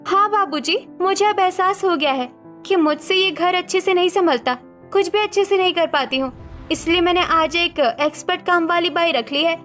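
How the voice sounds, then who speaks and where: A woman plays an aggrieved young daughter-in-law in a cartoon family drama. Her voice is high, emphatic and plaintive, standing up for herself over faint background music. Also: moderate pace, a bit bright. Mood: plaintive, defiant. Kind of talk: Hindi cartoon family melodrama acting.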